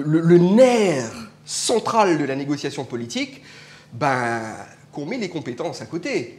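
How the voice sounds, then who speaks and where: A man, a political scientist and politician from Mauritius, arguing his point emphatically in a live panel debate. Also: fairly high pitch. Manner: argumentative, animated, emphatic. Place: indoor public event.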